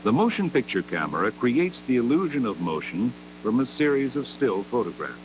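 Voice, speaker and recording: A man narrates a scripted 1960s educational film in a measured, didactic manner, with deliberate phrasing, on an old 16mm soundtrack. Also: medium pitch, big pitch swings, lo-fi sound, noisy background.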